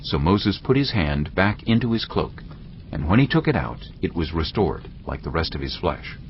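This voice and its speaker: A man narrating a dramatized Bible in a calm storytelling manner, with a husky voice. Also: low voice, moderate pace, a little hiss or hum. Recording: muffled lo-fi audio.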